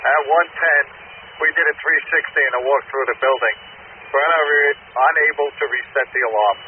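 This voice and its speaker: A male firefighter calls in short, halting reports from the field. His voice is squeezed through a narrow, phone-like radio band with a clear wash of static. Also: fairly high pitch. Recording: tinny scanner radio with heavy static.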